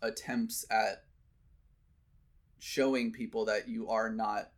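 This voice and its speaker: A man's voice: lowish voice, flat delivery, takes it slowly. A man giving his opinions in casual conversation, emphatic and given to voicing imagined quotes.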